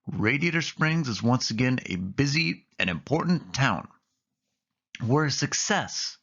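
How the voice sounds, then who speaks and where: A man's voice: mid-range voice, lively intonation, unhurried, a bit bright, husky, pitched above the performer's own voice, full character voice. Muffled audio, man playing friendly female car, storybook character, organized, reassuring storyteller. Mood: businesslike, upbeat. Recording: dull, muffled lo-fi recording.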